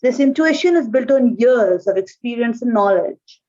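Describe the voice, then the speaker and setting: A woman whose first language is Urdu speaks in a fairly high voice, answering interview questions over a call. She talks thoughtfully and often pauses with "uh". The audio is dull and lo-fi. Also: expressive, mellow. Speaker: guest, marketing manager. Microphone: remote call.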